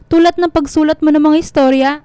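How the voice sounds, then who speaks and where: A woman's voice: high-pitched, fairly even intonation, quick pace, mellow, no echo, natural voice. A woman playing a bitter, controlling middle-aged mother who scolds reproachfully in a radio drama. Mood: bitter, scolding.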